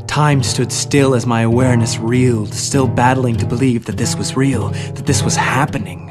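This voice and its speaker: A man's voice: medium pitch, fairly even intonation, moderate pace, over music, natural voice. A man playing an ancient, grim spirit, narrating a horror story in a solemn, literary, brooding way. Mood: grave, intense.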